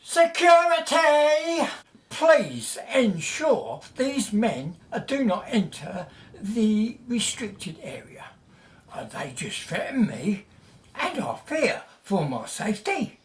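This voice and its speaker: An actress plays an officious man guarding a site in a radio drama. The delivery is pompous and dismissive, measured in pace, with very animated pitch. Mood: mock alarm. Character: officious, sneering male British Museum official guarding site. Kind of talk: audio drama acting.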